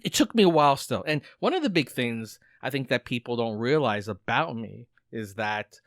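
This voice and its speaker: A man's voice: mid-range voice, sing-song, normal speed, dry recording. Middle-aged male podcast co-host, talkative, lots of "like" fillers, dry close sound. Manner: talkative, frequent "like" fillers, reflective. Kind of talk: casual conversational podcast.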